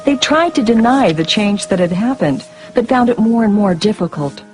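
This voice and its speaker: A woman giving scripted storybook narration in an expressive way, with music behind her. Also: mid-range voice, moderate pace.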